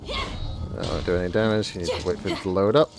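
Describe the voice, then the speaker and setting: A woman's voice: rumbling low, animated, a little fast, background noise. A woman game streamer giving casual live commentary, muttering to herself as she plays. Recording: game music behind the voice. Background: video game music.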